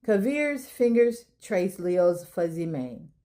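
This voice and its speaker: A woman hosting a children's storytime, reading aloud in an expressive way as if talking straight to young listeners. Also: on the low side, slow. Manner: expressive, addressing children directly. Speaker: storytime reader and host. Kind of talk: children's read-aloud narration.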